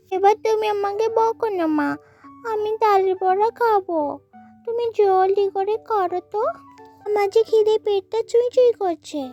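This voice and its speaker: A woman's voice: extremely high pitch, a little mellow. A woman voicing an eager, pleading little village girl in a cartoon. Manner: childlike, wheedling. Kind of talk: cartoon voice acting.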